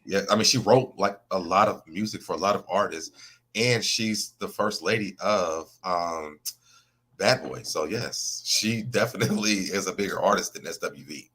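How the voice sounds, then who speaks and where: Deep-voiced, bright, inquisitive man. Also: normal speed, slightly rough. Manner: inquisitive, asks follow-up questions. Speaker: recap co-host. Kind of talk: casual recap chat.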